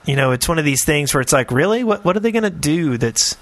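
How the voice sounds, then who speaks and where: A man's voice: fairly high pitch, animated, fast. A man co-hosting a podcast, chatting casually in a jokey, playful way. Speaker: podcast co-host. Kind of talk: casual chat.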